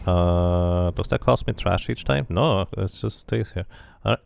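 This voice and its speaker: Man, game streamer, low gravelly voice, close dry sound, musing casual commentary. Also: measured pace, a little mellow, dry recording. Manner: musing to himself. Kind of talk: casual playthrough commentary, reading game text aloud.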